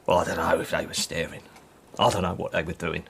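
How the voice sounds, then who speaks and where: A man acting a brisk, blunt soldier in a period radio drama, shaken by war but practical, with terse, plain-spoken, bossy delivery. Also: low-pitched, flat delivery, creaky, a bit bright, slight background noise. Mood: shaken, bleak.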